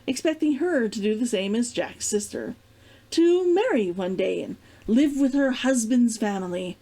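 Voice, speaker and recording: Animated, high-voiced woman reading a story. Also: normal speed, poor audio. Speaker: reader of a fiction read-along. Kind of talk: expressive storybook narration.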